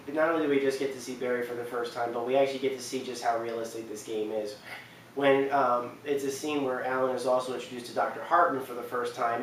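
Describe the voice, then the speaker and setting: A man in his twenties giving a casual, unscripted game countdown to camera, rambling earnestly and often correcting himself. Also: mid-range voice. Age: 20s. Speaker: video game list host. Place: indoor living room on a couch. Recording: echoey room, distant mic, faint noise.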